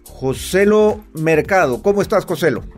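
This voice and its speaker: A man co-hosts a podcast, friendly and teasing, with hesitant fillers. His voice is fairly high, and faint music plays in the background. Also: lively intonation, normal speed. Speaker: podcast co-host. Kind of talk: casual podcast hosting.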